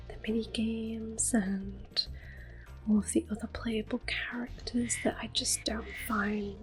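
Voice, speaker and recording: Woman, soft whisper, ASMR gameplay, close mic, gentle and hesitant, faint game music behind. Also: medium pitch, low-quality recording. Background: faint game music and controller clicks.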